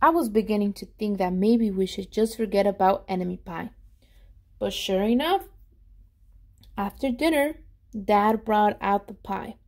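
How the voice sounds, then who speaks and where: A woman reading a storybook aloud to children in an expressive, animated way, pausing to put questions to them. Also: medium pitch. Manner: animated, with dramatic gasps and emphasis.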